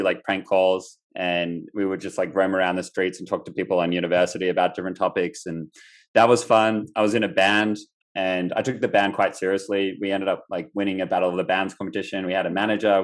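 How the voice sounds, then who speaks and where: A young man from Australia, a guest on an interview podcast, telling his story casually with lots of fillers and restarts. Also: deep voice. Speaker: guest entrepreneur.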